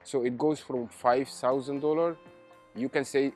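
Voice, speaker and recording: A man's voice: mid-range voice, fairly even intonation, a little slow, a little mellow, soft background music. A man in his twenties from Egypt, an intern doctor answering interview questions outdoors, hesitant, filling with "you know, like". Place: outdoors in a park.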